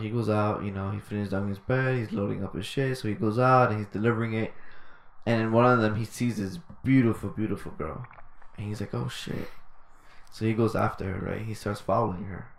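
A man's voice: fairly low pitch, fairly even intonation, brisk, echoey room, a little hiss or hum. A man hosting a podcast, telling a story in a laid-back casual way with lots of fillers and swearing. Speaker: podcast host.

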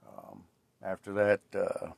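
Reflective man in his forties, low dry voice. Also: very animated, slow pace, creaky, no echo. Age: 40s. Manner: rambling and reflective, many fillers and restarts. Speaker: podcast host. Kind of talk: casual solo podcast monologue.

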